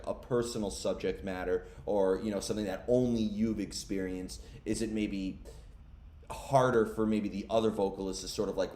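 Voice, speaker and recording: A male interviewer with a low, mellow voice asks long, rambling questions full of fillers. His voice carries the echo of a roomy space. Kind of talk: casual interview. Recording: echoey room.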